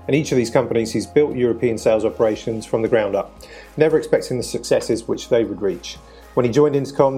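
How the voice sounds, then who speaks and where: A man's voice: medium pitch, dark tone. A man hosting a podcast, presenting and interviewing. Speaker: podcast host.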